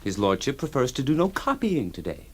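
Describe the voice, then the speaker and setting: A man's voice: mid-range voice, huge pitch swings, fairly quick, a little mellow, slightly rough, exaggerated voice. A man voicing a fussy, deferential law clerk who keeps indignantly repeating himself in an audio drama.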